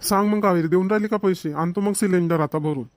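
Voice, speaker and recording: A man's voice: sits high, fast talker, no echo, natural voice. A man playing a broke village father, scolding yet coaxing, in a cartoon comedy with a Vidarbha Marathi accent. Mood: agreeable.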